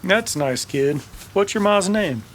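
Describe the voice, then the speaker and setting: A man playing a wry, gruff cowboy gunman with a folksy manner, in an old-time radio Western. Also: high-pitched, expressive, takes it slowly, slight background noise, voice pushed up. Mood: wry, friendly.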